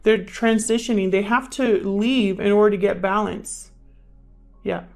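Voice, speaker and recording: A woman hosts an improvised tarot reading with music playing behind her. Her voice sits at a middling pitch for a woman. She talks conversationally, thinking aloud as she addresses the cards and her viewers. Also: steady tone, measured pace. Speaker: tarot reader, channel host. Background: background music.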